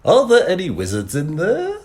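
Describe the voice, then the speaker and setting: A man voicing a loud, methodical stranger in an audiobook who questions people as if working down a list. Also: high-pitched, wildly swooping pitch, moderate pace, voice pushed up, heavily acted.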